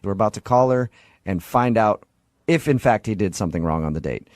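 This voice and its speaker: A man hosting a morning radio show, teasing a caller and joking briskly. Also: lowish voice, expressive, darkish tone, no room sound, clean studio sound. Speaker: radio host.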